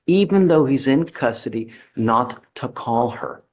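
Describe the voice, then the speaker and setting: A man's voice: medium pitch, animated, unhurried. A man, a judge, ruling firmly and curtly from the bench in a courtroom. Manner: firm and curt.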